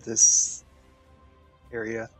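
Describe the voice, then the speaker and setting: A man's voice: very slow, faint music behind. A man playing a tabletop role-playing game, speaking casually about what he will do.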